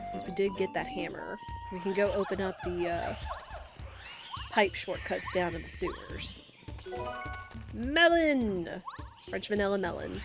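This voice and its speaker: Young woman, stuffy nose, nasal and congested, rambling casual talk, game music behind. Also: mid-range voice. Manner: chatty, rambling, with fillers. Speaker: gaming streamer. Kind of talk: casual live-stream commentary.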